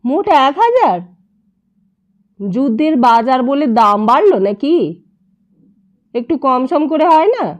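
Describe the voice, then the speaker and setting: A woman's voice: high voice, very animated, measured pace, pauses often, voice pushed up. A woman voicing a male story character who bargains insistently and agrees grudgingly. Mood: startled bargaining. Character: impatient, bargaining man in a hurry to get help.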